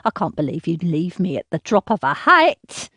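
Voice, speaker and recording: A woman's voice: sing-song, normal speed, pushed and bright, husky, no room sound, exaggerated voice. A woman voicing a cruel, self-pitying, volatile middle-aged mother who berates and pleads by turns. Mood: berating. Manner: shrill, berating, swinging to sobbing pleas.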